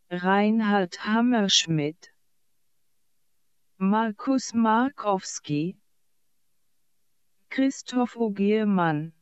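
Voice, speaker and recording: An announcer reading scripted radio credits in a measured way, pausing between phrases. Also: mid-range voice, clean tone, no echo, muffled recording.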